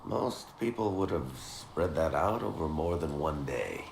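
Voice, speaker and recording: An actor playing a wry, worldly storyteller rogue in a video game, talking in a sardonic, conversational way. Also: male voice, very deep voice, lively intonation, measured pace, exaggerated voice. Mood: wry concern. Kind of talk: video game dialogue acting. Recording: roomy echo, clear background noise.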